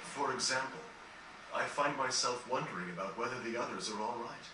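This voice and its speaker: A man playing a gentle, melancholy dying composer in a fantasy game, speaking in a reflective, earnest, measured way with formal phrasing. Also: mid-range voice, natural voice. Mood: wondering, reflective. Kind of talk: dramatic game cutscene dialogue. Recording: distant, echoey, noisy game capture.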